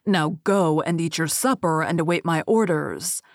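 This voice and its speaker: A woman voicing an angry male beast in a fairy tale read aloud, speaking loud, stern and commanding. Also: low voice, normal speed, no room sound, studio quality. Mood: grim.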